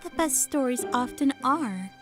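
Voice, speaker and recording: A young woman acting a calm, mysterious big sister telling tales in a measured way in an audio play. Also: sits high, loud music behind the voice. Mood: calm, teasing. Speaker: storyteller. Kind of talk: dramatic storytelling in an audio play.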